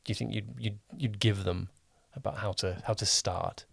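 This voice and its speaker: A man's voice: on the low side, steady tone, normal speed, gravelly, dry recording. Man hosting a podcast interview, dry close sound. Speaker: podcast host and producer interviewing a guest. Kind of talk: casual interview chat.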